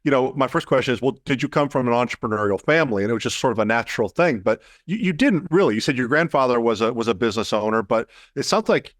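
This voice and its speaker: A man's voice: medium pitch, lively intonation, quick pace, few pauses, no echo, clean studio sound. A man hosting an interview podcast, asking long, thoughtful, winding questions. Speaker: podcast host.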